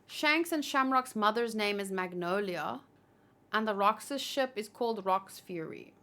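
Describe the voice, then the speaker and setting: A woman doing casual livestream commentary, reading aloud and reacting in a chatty, expressive way. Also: mid-range voice, unhurried. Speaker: manga read-along streamer.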